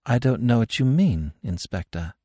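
Man voicing a husky, world-weary young woman crook. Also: medium pitch, big pitch swings, mellow, dry recording, clean studio sound, pitched above the performer's own voice. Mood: slow, wondering. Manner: slow, cool delivery. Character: cynical world-weary young blonde woman thief, unemotional then tearful.

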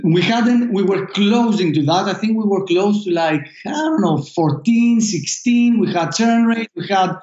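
A man with a Greek accent, a founder answering interview questions in a halting way, full of fillers and restarts. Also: high voice, lively intonation, a little mellow. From Greece. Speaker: startup founder guest. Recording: echoey, dull lo-fi sound.

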